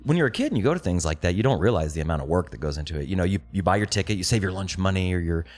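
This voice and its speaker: Fast, lively, low-voiced male podcast host. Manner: enthusiastic, swears casually. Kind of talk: casual interview chat.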